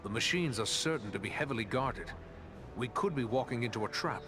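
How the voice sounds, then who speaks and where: A man's voice: medium pitch, animated, fairly quick, natural voice. A man playing a wary, serious ranger warrior in a fantasy game. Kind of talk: fantasy game cutscene acting.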